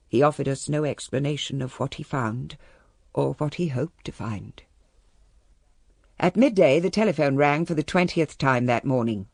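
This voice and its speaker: Composed upper-class English lady, expressive pitch. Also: creaky, breathy edge, no room sound, clean studio sound, exaggerated voice. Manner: cool, measured, politely guarded. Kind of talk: radio drama, first-person narration and dialogue.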